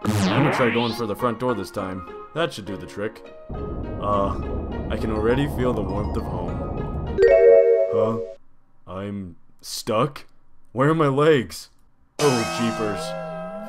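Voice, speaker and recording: A man's voice: fairly low pitch, a little slow, long pauses, close to an everyday voice. A man voicing a drowsy, joking skeleton in a cartoon comic dub, casual and wry. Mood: weary. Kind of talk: comic dub character acting. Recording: loud background music.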